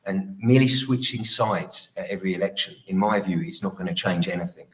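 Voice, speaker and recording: A man giving an earnest, pleading closing speech as a political candidate at a hustings, with some halting delivery. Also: deep voice, poor audio.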